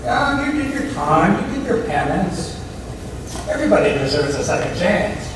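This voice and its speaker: A man's voice: fairly high pitch, sing-song, moderate pace. A man acting on a community theatre stage as an affable, fatherly former parole officer, casual, wry and blunt. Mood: supportive, impressed. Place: indoor theatre stage, mic far off. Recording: distant, very echoey stage recording with clear noise.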